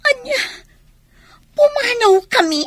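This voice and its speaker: A woman's voice: very high-pitched, animated, takes it slowly, no room sound, exaggerated voice. A woman with an Ilocano accent playing an emotional, pleading young woman in a radio drama. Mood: shocked, pleading. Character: distressed young woman, a kept girlfriend.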